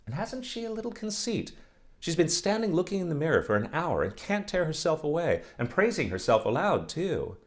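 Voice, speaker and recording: A man's voice: on the high side, sing-song, fairly quick, roomy sound, pitched above the performer's own voice. A man voicing a lovesick young village blacksmith who pleads tenderly with the girl he courts. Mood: admiring.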